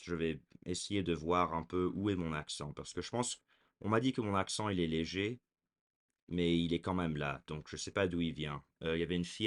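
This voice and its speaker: Young man, very deep voice, halting casual vlog talk, lots of ums and restarts. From raised in America. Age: 20s. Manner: hesitant, many fillers and restarts. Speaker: vlogger. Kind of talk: casual talking to camera.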